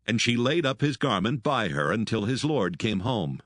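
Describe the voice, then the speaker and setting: A man narrating dramatised scripture in a solemn, measured manner. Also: lowish voice, sing-song, fairly bright tone, no room sound, clean studio sound.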